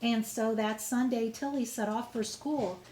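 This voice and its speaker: A woman reading a children's storybook aloud in an expressive, measured way, as if to young pupils. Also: a little high, a little hiss or hum.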